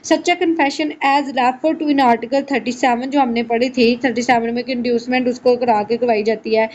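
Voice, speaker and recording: Female law lecturer, high register, reads and explains; slightly dull, lightly noisy audio. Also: fairly even intonation, a little mellow. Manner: switches between slow English reading and conversational Urdu explanation. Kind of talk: explanatory lesson, reading out statutes and glossing them. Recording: muffled lo-fi audio with faint noise.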